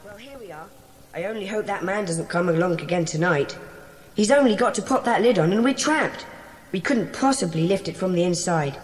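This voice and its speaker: A woman playing a brisk, decisive schoolboy who leads a secret club in a dramatised children's audiobook. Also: fairly low pitch, expressive, faint music behind, lightly acted. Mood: cautious, excited. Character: bossy, sensible schoolboy leader of a secret club.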